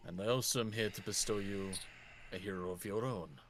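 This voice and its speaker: A man takes part in a tabletop role-playing game. His voice is quite deep, and he talks casually as himself and his character over a faintly noisy recording. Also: a little fast, a bit bright. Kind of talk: casual role-play chat, speaking in character.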